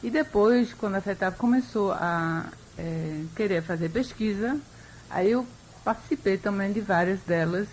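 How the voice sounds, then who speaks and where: A woman's voice: mid-range voice, a little mellow, a little hiss or hum, low-quality recording. Older woman historian. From Europe. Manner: measured, thoughtful, with frequent hesitations. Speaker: historian, interview guest. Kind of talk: radio interview.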